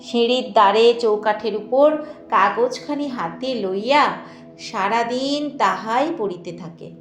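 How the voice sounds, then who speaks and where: A woman narrating a literary novel in a measured, expressive way with deliberate pauses. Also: fairly high pitch. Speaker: audiobook narrator. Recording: echoey voice with music behind. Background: music.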